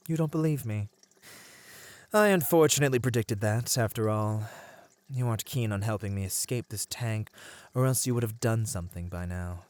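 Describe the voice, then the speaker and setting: A man playing a captive merman in an ASMR roleplay, charming, plaintive and slyly persuasive, speaking gently in formal, wistful phrases. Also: lowish voice, no room sound. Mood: wistful, gently chiding.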